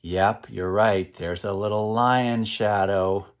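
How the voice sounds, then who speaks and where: An American man in his fifties reading a picture book aloud to children in a slow, expressive, encouraging way, pausing to ask them questions. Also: lowish voice. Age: 50s. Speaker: children's read-aloud host. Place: indoor room at home.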